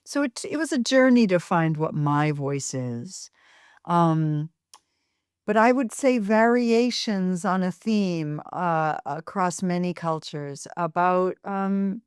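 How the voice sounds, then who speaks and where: An American woman, a voice professor being interviewed, with a fairly deep voice, speaking thoughtfully with pauses and fillers. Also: darkish tone, smooth, no echo, clean studio sound. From United States. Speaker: voice and speech professor, guest. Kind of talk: interview answers.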